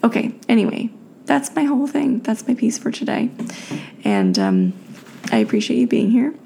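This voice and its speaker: A young woman talks through a solo podcast late at night, her voice raspy and full of deep vocal fry. She is reflective and searching, pausing on fillers and restarting her sentences, while her pitch moves freely. Her tone is warm and dark. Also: huge pitch swings, a little hiss or hum. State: tired, raspy voice. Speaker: podcast host.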